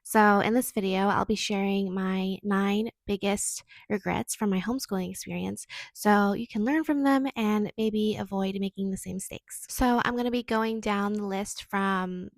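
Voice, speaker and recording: A woman's voice: medium pitch, fairly even intonation, moderate pace, gravelly, hardly any pauses, no room sound. A woman in her twenties, raised in Indonesia, talking casually to camera in a reflective personal vlog, often using filler words. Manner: chatty, reflective, frequent "like" fillers. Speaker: vlogger.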